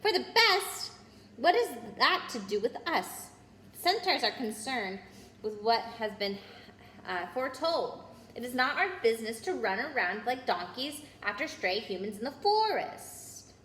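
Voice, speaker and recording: A woman's voice: on the high side, big pitch swings, a little slow, a bit bright. A woman voicing a proud, wrathful male centaur in an indignant, declamatory storybook reading. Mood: outraged. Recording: roomy echo with noise.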